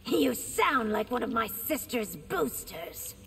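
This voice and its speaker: Casting: haughty young empress, highish voice with fry, demanding game dialogue. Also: female voice, sing-song, takes it slowly, fairly bright tone, slight background noise, full character voice. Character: imperious, impatient young empress. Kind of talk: video game voice acting.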